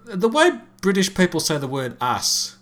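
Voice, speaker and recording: A man co-hosting a casual podcast, his voice rising and falling in lively swoops. He drops in wry, understated jokes and curious questions. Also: high voice, moderate pace. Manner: wry, dry asides. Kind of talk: casual chat.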